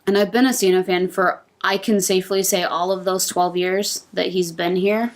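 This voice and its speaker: A woman's voice: on the low side, steady tone, normal speed. A woman on a podcast panel, casually explaining her predictions in an earnest way. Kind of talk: casual wrestling prediction talk.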